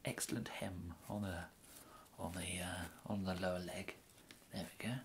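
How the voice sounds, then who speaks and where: A man in his thirties with an English accent playing a polite, fussy gentleman tailor in an ASMR roleplay, murmuring hesitantly and often slipping into a breathy whisper. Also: sits low, flat delivery, moderate pace, slight background noise, natural voice. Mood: courteous, pleased. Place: indoors, plain small room, close to camera.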